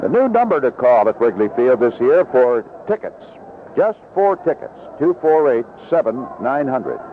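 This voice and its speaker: Animated American baseball radio announcer, man. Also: medium pitch, moderate pace. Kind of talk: live radio sports commentary. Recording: dull, noisy vintage radio broadcast.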